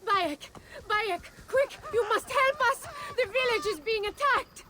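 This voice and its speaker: An actress playing a frantic, pleading villager in a video game, crying out urgently. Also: female voice, extremely high pitch, animated, unhurried, very noisy. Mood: panicked, pleading. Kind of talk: dramatic video game acting.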